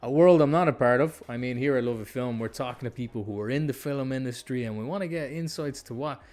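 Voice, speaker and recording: A man's voice: mid-range voice, fast, darkish tone. A man hosting a podcast, chatting casually and putting his points in an informal, rambling way. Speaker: podcast host. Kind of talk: casual interview chat.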